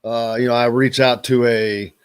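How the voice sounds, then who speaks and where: A man hosting a sports radio show, chatting casually, joking and rambling in an animated way. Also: medium pitch, moderate pace, smooth.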